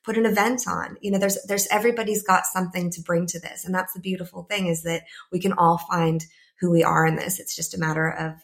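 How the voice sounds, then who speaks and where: A slightly croaky woman in her thirties speaks in a low-pitched voice. She talks as a podcast guest, pausing and reaching for words with easy fillers. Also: fairly even intonation. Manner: thoughtful, many "kind of" fillers. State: croaky, a bit ill. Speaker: podcast guest, climate psychologist. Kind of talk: casual interview talk.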